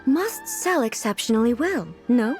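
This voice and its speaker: A woman's voice: sits high, wildly swooping pitch, measured pace, faint music behind, exaggerated voice. A woman playing a regal female ruler in a video game, formal and composed, earnestly curious and literal-minded. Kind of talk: English video game voice acting.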